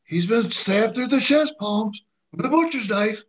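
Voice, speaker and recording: A woman's voice: fairly high pitch, lively intonation, a little slow, slightly rough. A woman playing an earnest, easily baffled detective's sidekick, hesitant and astonished, in an old-time radio mystery parody.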